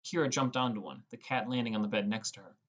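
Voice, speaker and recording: A man's voice: fairly low pitch, quick pace, fairly bright tone, dull audio. Young man narrating a story. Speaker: audiobook narrator. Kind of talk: scripted first-person narration.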